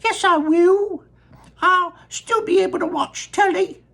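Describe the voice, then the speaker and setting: A tiny squeaky bratty little boy, very high and piercing, gravelly edge, storybook read-aloud. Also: measured pace, fairly bright tone, exaggerated voice. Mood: defiant. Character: tiny shrunken boy, bratty, tantrum-prone.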